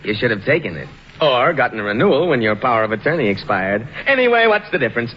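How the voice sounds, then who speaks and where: An actor playing a glib, self-satisfied talent agent with a chummy, smug manner in an old-time radio comedy. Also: male voice, medium pitch, big pitch swings, fast talker, lightly acted. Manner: chummy, smug, stammers when flustered. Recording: muffled, noisy old radio recording.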